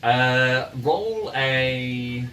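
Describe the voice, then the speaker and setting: A man speaking as game master, narrating a tabletop game in a casual, halting and amused way. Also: mid-range voice, animated, bright, forceful tone. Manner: halting, amused, chuckly delivery. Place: indoor room, in-person game session. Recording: distant mic, noisy room ambience.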